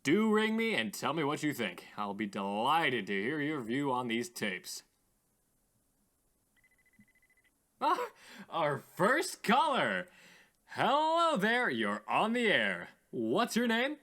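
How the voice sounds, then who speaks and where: A man playing a theatrical, lively-voiced radio host. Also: on the high side, exaggerated voice. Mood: upbeat, courteous. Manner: formal, genteel, drawn-out greetings. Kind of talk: radio drama acting as call-in host.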